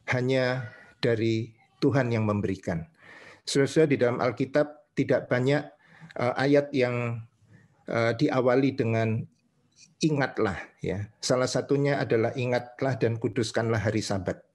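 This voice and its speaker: A man's voice: medium pitch, darkish tone. A man with an Indonesian accent preaches in a didactic way, reading scripture and explaining it phrase by phrase with short confirming tags.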